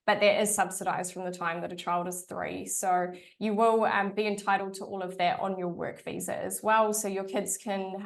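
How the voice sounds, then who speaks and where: Woman, new zealand accent, gravelly, explanatory, chatty adviser. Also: mid-range voice. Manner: chatty, explanatory, frequent ums. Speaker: immigration adviser. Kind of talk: informational video interview.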